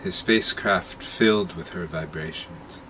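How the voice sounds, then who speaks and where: Deep-voiced man slowly reading a story. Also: sharp tone, a little hiss or hum, rough recording. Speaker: narrator reading his own short story. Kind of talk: short story read aloud.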